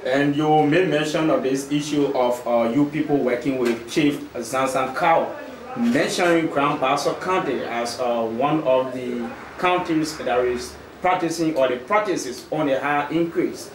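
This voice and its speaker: A man reporter asks his question in a medium-pitched voice, stopping often on "uh" as he goes. He sounds a little hesitant. His voice carries around an echoey room over faint room noise. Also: measured pace. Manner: hesitant, many uh fillers. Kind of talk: press question.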